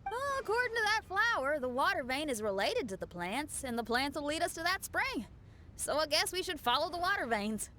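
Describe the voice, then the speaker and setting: Casting: friendly young female musician, easygoing and encouraging, high lively voice for a fantasy game. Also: brisk, slight background noise, exaggerated voice. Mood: upbeat.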